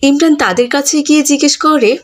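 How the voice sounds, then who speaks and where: A woman's voice: sits high, fast talker. A woman reading scripted narration for a cartoon story.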